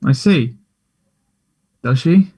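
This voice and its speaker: Gentle young man with a breathy voice actor reading. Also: medium pitch, animated, extremely slow, heavily acted. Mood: calm, probing. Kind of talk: play table read.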